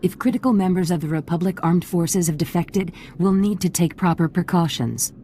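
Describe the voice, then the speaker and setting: A woman's voice: lowish voice, normal speed, warm tone, slight background noise, natural voice. An actress playing a formal, guarded female official who politely shuts questions down. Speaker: presiding senator.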